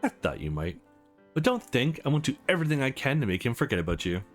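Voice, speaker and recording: A man voicing wry, awkward women, very animated. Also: mid-range voice, fast talker, lightly acted. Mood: wry, guarded. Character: two young women rivals in love, awkward, wry. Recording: music under the voice.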